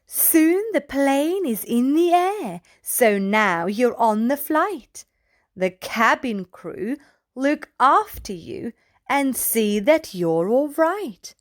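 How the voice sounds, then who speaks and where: A woman's voice: sits high, sing-song, slow. A woman reading a picture book aloud to young children, in a playful manner as the host. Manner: addresses small children, playful sound effects.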